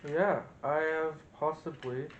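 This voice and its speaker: A man's voice: fairly high pitch, fairly even intonation, takes it slowly, highs cut off. A man presenting a casual hands-on experiment, thinking aloud as he demonstrates. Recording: roomy echo, noticeable background noise.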